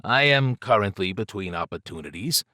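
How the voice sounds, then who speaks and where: A shifty male thief from an audiobook tale, acted out by a male narrator, low in pitch and recorded dry and close. He is evasive and flippant, covering his nerves with slangy bravado. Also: expressive, normal speed, bright, forceful tone, no echo. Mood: stiff, wary.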